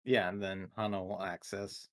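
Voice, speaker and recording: Male tabletop player, lowish voice, short in-character game lines. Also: little pitch movement, moderate pace, a bit bright. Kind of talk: casual game talk, partly in character.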